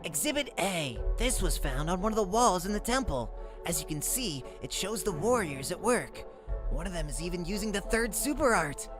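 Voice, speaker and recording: A performer acts out an eager young male cup creature from a cartoon. He lectures like a bookish enthusiast, precise and easily excited. The voice sits at a middle pitch over faint music. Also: moderate pace, close to an everyday voice. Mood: lecturing. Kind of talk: comic dub, animated cartoon lecturing.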